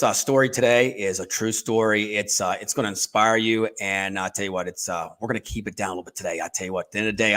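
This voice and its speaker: Energetic folksy male host. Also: lowish voice. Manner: energetic, folksy, many fillers. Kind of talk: casual chat.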